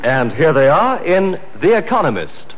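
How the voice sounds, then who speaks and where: A man's voice: on the high side, sing-song, normal speed, crowd noise, noisy background. A man with a British accent making a formal announcement as a 1960s radio announcer. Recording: dull old radio recording with audience. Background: theme music and audience.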